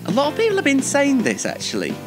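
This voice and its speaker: Animated, self-deprecating young man. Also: very high voice, quick pace, loud music behind the voice. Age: young adult. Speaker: host. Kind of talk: casual self-interview and chat to viewers.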